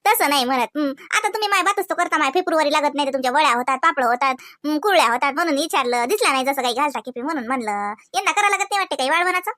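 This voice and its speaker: A woman's voice: high-pitched, lively intonation, very fast, few pauses, pushed and bright, dry recording, studio quality. A woman voicing a chatty, prying village daughter-in-law in a cartoon, gossipy and hesitant, with a Vidarbha Marathi accent. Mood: explaining. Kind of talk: cartoon comedy dialogue.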